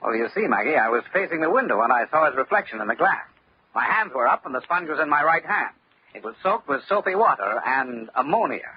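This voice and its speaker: A man playing a sharp, tough investigator in an old-time radio detective drama. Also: on the high side, sing-song, fairly quick, slightly rough, full character voice. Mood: matter-of-fact. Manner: hard-boiled, explanatory. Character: sharp, tough male investigator, brisk and self-assured. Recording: dull lo-fi old radio, faint noise.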